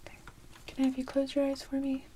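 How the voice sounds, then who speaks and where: A woman in her thirties speaking in a soft, breathy whisper, giving calm, gentle instructions as a medical technician in an ASMR roleplay, recorded close. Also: high-pitched, quick pace, slight background noise. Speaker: ASMR performer playing an EEG technician. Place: small indoor room dressed as hospital bay.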